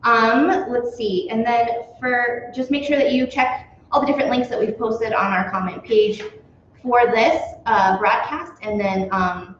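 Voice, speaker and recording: A woman's voice: fairly high pitch, steady tone, a little slow, a little hiss or hum. Young woman hosting with many "um"s. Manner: friendly, lots of "um"s. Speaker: host. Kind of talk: hosting a live company Q&A. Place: small office room. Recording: very echoey, dull office recording.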